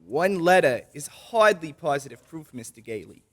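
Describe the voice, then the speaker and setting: A pompous judge acted by a man in old-time radio style, with a high-set voice. The delivery is formal, stern and declamatory, and easily flustered. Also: sing-song, normal speed. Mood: impatient.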